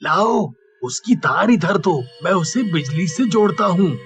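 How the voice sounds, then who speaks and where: A man's voice: high-pitched, lively intonation, measured pace, a bit bright, heavily acted. A man voicing an earnest, polite factory worker in a cartoon story. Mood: pleased, practical. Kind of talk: Hindi cartoon voice acting. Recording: dull lo-fi track with music.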